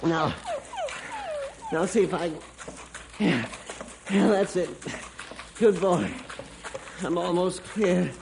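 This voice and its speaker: An actor playing an earnest farmer who tells stories deliberately and expressively in an old-time radio drama. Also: male voice, high-pitched, gravelly, full character voice. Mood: strained, desperate. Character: earnest farmer recounting his dog's heroics. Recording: faintly noisy vintage radio recording.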